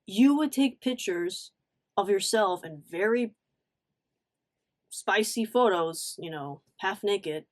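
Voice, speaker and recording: A woman telling a casual story-time vlog in a blunt, slangy, animated way, with frequent conversational asides. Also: mid-range voice. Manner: animated, blunt, swearing, acts out quotes. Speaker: online storyteller.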